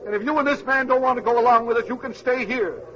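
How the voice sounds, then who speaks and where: An actor playing a belligerent, defiant ringleader of a mob in a mid-century radio drama. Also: male voice, very high-pitched, steady tone, fast talker, fairly bright tone, a little hiss or hum. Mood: commanding. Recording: dull old radio recording, crowd behind.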